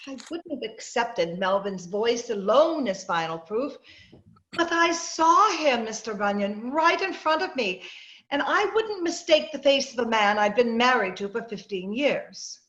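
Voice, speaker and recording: A woman's voice: on the high side, big pitch swings, unhurried, a bit bright, roomy sound. A woman playing a polite, troubled middle-aged wife in an old-time radio drama, hesitant and earnest, on dull video-call audio.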